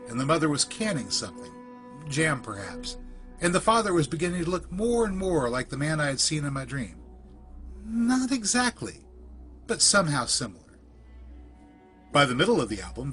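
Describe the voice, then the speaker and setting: A man reading a scripted first-person spooky story in a measured, deliberate storytelling manner. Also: on the high side, a bit bright. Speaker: narrator of a creepypasta podcast.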